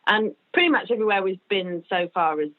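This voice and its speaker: A woman's voice: medium pitch, lively intonation, brisk. A woman performer in casual interview chat, giggly, acting out what others said. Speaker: physical comedy performer. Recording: tinny phone-like line.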